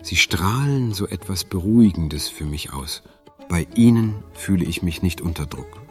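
Radio play: actor for a bashful, formal male client, low-pitched, halting and easily flustered. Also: expressive, darkish tone, soft background music. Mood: polite, calmer. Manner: hesitant, formal. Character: shy, flustered male client, polite and awkward. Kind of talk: audio drama dialogue.

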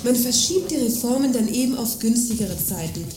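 Radio satire: actress for a smug establishment woman, dismissive and lecturing, higher-pitched, roomy sound. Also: expressive, fairly quick, natural voice. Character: smug, self-assured woman defending the establishment. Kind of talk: audio drama dialogue, political satire. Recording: roomy, clearly noisy background.

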